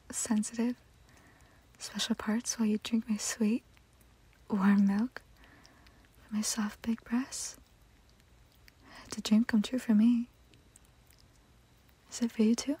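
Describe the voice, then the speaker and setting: A woman speaking in a soft, breathy whisper in an intimate roleplay style, slow and soothing. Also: medium pitch, dry recording, rough recording.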